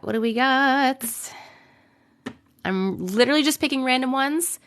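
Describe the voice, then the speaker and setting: A young adult woman live streaming, chatting with viewers and reading stories, her voice a little rough from a cold. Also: on the high side, a bit bright. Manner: chatty, greets viewers by name between sentences. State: voice a bit rough from getting over a cold. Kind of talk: casual live stream chat and story reading.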